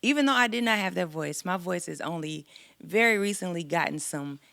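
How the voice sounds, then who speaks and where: A woman singer, a guest on a podcast, talking in a soft, thoughtful and reflective way with a light, sweet voice. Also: on the low side, animated, brisk, gravelly, dry recording. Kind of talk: casual podcast chat.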